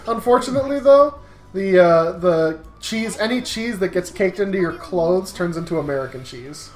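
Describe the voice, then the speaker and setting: A woman's voice: on the low side, animated, normal speed, a little mellow, roomy sound. A woman co-hosting a casual comedy chat, arguing silly points with playful, mock-serious reasoning. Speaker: podcast co-host.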